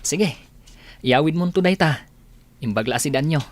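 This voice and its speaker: Casting: male actor as kindly, coaxing village fellow, Ilocano accent, lively intonation, radio drama. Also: on the high side, moderate pace, slight background noise, close to an everyday voice. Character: generous, friendly village man fond of a woman.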